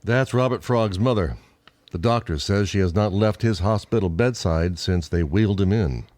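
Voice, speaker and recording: Man acting a low-voiced monster lieutenant. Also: normal speed, darkish tone, no echo, lightly acted. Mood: consoling. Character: reassuring monster police lieutenant. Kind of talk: radio play acting.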